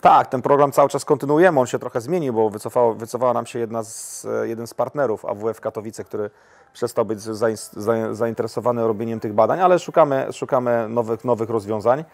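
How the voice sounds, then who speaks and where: A man in his forties talks quickly in a seated interview in a lounge. He is a football coach and often stumbles, repeats words and restarts mid-sentence. His voice sits at an average pitch for a man. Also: a little mellow. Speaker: national women's team coach. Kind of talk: relaxed interview answers. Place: lounge with armchairs, indoors.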